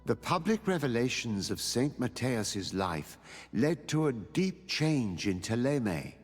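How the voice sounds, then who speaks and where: An actor playing an elderly mentor who narrates a fantasy game's epilogue in a solemn, measured storytelling manner. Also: male voice, medium pitch, big pitch swings, big-room sound. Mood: solemn, reflective. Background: music.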